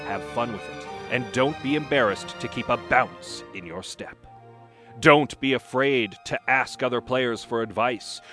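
A man gives a mock-serious, theatrical dramatic reading of how-to tips in a deliberate way. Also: medium pitch, a bit bright. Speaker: reader of a comic dramatic reading. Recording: music playing behind the voice.

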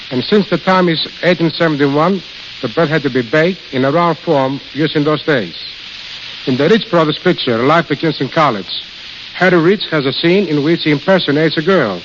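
A plain-spoken man being interviewed as a guest on a 1930s radio show, telling anecdotes. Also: on the high side. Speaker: studio caterer, interview guest. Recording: hissy, crackly dull 1930s radio recording.

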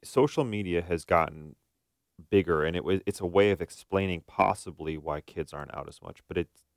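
A man's voice: low voice, normal speed, vocal fry, dry recording, studio quality. A man co-hosting a talk show as a therapist, discussing informally and thinking aloud as he goes. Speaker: podcast co-host, therapist. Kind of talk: casual discussion.

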